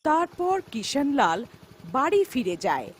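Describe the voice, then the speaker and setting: High, expressive woman storyteller. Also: measured pace, husky. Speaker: story narrator. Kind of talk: scripted children's horror folktale narration.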